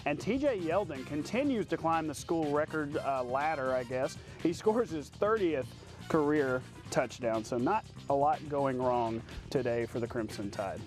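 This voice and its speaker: A man with a fairly high voice anchors a sports show. He delivers highlights with punch and frequent exclamations, over a light music bed. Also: animated, measured pace, darkish tone. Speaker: TV sports co-host. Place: TV studio.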